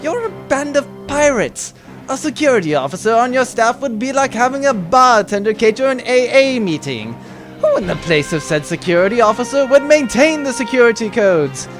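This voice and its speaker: An actor plays a theatrical villain baron in a comic audio drama, sarcastic and pompous. The delivery swings widely in pitch as the baron gloats and menaces, with music playing underneath. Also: big pitch swings, moderate pace, lightly acted. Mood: smug, sarcastic. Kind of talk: comedic audio drama acting.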